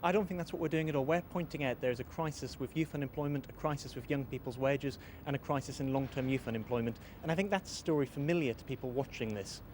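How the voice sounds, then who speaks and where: A man's voice: medium pitch, fast, few pauses, background noise. A man arguing his case emphatically in a news interview, repeating his points. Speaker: campaign spokesperson interviewee.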